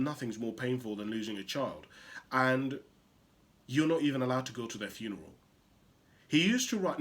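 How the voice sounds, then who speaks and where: A man who grew up in Uganda talks straight to his listeners in an impassioned motivational monologue. His pitch swoops widely as he piles on rhetorical questions, and his delivery shifts from loud emphasis to hushed asides. His voice sits in a middle register. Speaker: motivational show host.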